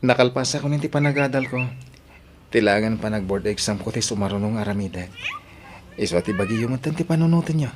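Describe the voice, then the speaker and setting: A man's voice: fairly low pitch, expressive, fairly quick, close to an everyday voice. A man playing a supportive young adult son, polite and earnest, in Ilocano audio drama style. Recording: noisy home-made audio drama track.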